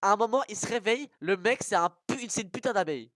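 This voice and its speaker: A young adult in casual group banter with friends, talking in an excitable, crude, slangy way. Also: medium pitch, fast talker, no room sound, studio quality. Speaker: participant in a group cartoon tier list.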